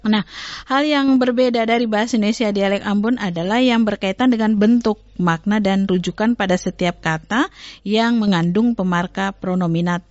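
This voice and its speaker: A woman's voice: mid-range voice, moderate pace, no room sound, highs cut off. Woman explaining language on studio radio. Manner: explanatory, many hesitations and self-corrections. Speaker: language researcher guest. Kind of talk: educational radio talk.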